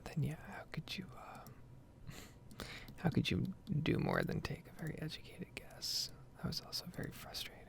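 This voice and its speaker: Softly whispering woman with creaky fry. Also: deep voice, wildly swooping pitch, normal speed. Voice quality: breathy, soft-spoken whisper with heavy vocal fry. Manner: casual, rambling. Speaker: gaming streamer and ASMR creator. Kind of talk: whispered ASMR gameplay ramble.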